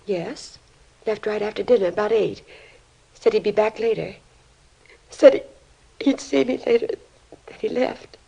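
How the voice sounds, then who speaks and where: An actress playing a distraught, disbelieving middle-aged American mother in an old radio crime drama, with a breathy, fragile voice. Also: mid-range voice, a little slow, pauses often, mellow, dull audio. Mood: dazed, sorrowful. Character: distraught, grieving mother of a teenage boy, disbelieving.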